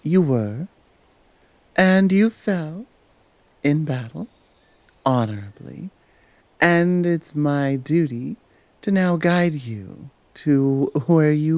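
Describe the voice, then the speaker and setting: A dutiful valkyrie played by a woman speaks in an ASMR roleplay. The delivery is slow and matter-of-fact, with animated intonation and a gently commanding manner. The recording is very dry and close. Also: sits low, no echo, full character voice. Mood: calm, dutiful. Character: dominant, dutiful valkyrie woman guiding fallen warriors. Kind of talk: ASMR roleplay acting, one-sided dialogue.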